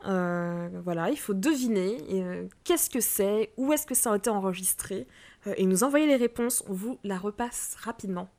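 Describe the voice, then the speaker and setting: A woman co-hosting a podcast in casual chat, hesitant and filling pauses often. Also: medium pitch, lively intonation, mellow. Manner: hesitant, many "euh" fillers. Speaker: podcast co-host.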